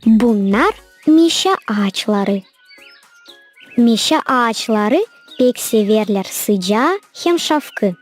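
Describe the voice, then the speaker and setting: A woman with a Gagauz accent voicing a sensible, knowledgeable young girl in a children's cartoon. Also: a little high.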